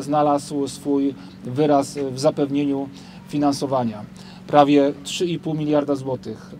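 A middle-aged man, a government official, giving a measured formal statement into press microphones in an outdoor square, with some hesitant fillers. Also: medium pitch, warm tone. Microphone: cluster of press microphones on stands. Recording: light street noise outdoors.

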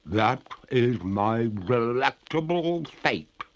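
A genteel lady ghost from a storybook, played by a man, pitched high and swooping. The words come slowly and formally, drawn out with pleading indignation. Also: expressive, dull audio. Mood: arch, mannered. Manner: formal, ornate, drawn-out speech.

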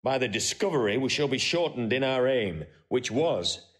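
An actor playing a proud, shrewd warrior general in a classical Shakespearean audio drama, declaiming crisp verse. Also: male voice, medium pitch, expressive, moderate pace, lightly acted. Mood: grave, scheming. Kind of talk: classical Shakespearean stage acting.

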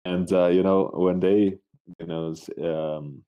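A man from Switzerland co-hosting a podcast in casual, unscripted chat, halting as he searches for words. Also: deep voice, little pitch movement, dull audio. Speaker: podcast co-host.

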